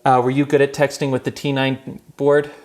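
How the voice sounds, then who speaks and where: A man's voice: mid-range voice, monotone, normal speed, darkish tone. A man in his thirties hosting a podcast, reading a scripted opening and then chatting with guests in a wry, self-deprecating way. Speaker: podcast host. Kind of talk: scripted intro, then casual chat.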